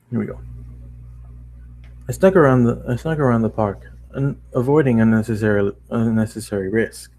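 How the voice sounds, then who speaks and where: A man with a fairly low, dark and relaxed voice reads a fan fiction story aloud. His delivery is informal, with stumbles and self-corrections as he goes. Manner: informal, stumbling over the text and correcting himself. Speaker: amateur narrator.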